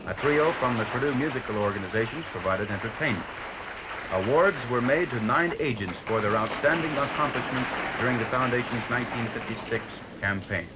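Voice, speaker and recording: An American man narrating a 1950s newsreel from a script, in a formal, measured announcer's delivery. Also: mid-range voice, animated. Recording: 1950s newsreel soundtrack with faint noise.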